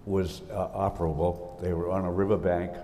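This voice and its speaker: An older man, a retired naval officer on a panel, recalling the past in a halting, folksy way. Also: flat delivery, warm tone, faint music behind. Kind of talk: recounting war memories. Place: large auditorium stage. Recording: hall reverb with faint rumble.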